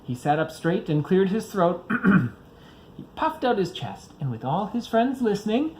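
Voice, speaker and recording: A man's voice: high voice, big pitch swings, measured pace, slight background noise, low-quality recording. A father reading a children's picture book aloud, expressively, varying his pace for young listeners.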